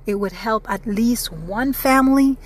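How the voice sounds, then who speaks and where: A woman's voice: fairly high pitch, measured pace. A woman from the Caribbean hosting her own casual podcast, chatty and earnest, repeating phrases for emphasis. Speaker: podcast host. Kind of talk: casual solo podcast monologue. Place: South Florida.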